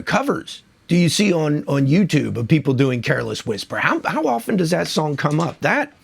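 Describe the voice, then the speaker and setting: A man's voice: high voice, creaky, breathy edge. A man who is losing his voice from a cold, hosting a casual music countdown in a chatty, enthusiastic, rambling way. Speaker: music video host.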